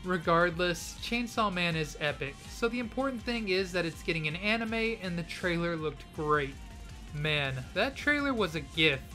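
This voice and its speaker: Male anime YouTuber, bright tone, playful fake-dramatic delivery, close mic. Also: high-pitched, animated, normal speed, faint music behind. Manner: mock-serious, punning, playfully dramatic. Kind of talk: scripted video commentary.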